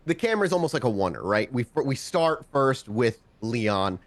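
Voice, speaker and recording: A man working as game master, narrating and refereeing a tabletop game in a casual, animated, conversational way. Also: medium pitch, normal speed, dry recording.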